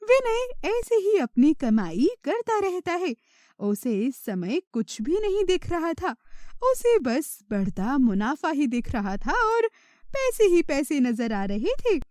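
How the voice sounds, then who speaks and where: Female narrator, children's moral tales: slow, lively intonation, dry recording. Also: high voice, no room sound.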